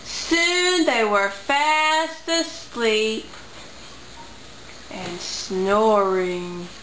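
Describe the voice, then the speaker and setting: A woman's voice: a little high, extremely slow. A woman narrating a simple puppet-show story for children at home. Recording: echoey room, faint background noise.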